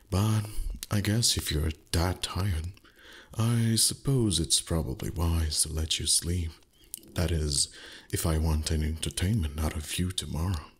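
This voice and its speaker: A man voicing a haughty, teasing male dragon in intimate ASMR roleplay, husky and breathy, condescending with flustered pauses. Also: sits low, full character voice. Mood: flustered, grudging.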